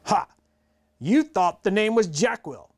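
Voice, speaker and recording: Man as a smug, sarcastic schoolboy, raised pitch. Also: lively intonation, slow, heavily acted. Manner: sarcastic, condescending.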